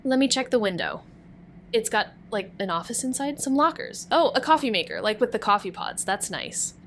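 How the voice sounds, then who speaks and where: A woman's voice: a little high, very animated, moderate pace, fairly bright tone, a little hiss or hum. A woman voicing a wry young amateur sleuth who narrates a noir audio drama with dry, sardonic remarks. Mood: uneasy. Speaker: narrator and investigator.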